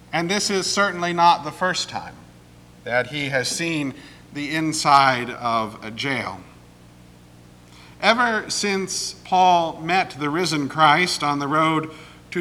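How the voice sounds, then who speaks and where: Slow, bright-voiced male pastor in a reverberant sanctuary. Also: on the high side. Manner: measured, deliberate preaching with clears of the throat. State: getting over a cold, slightly croaky. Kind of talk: sermon. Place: church sanctuary. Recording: long reverb of a church sanctuary.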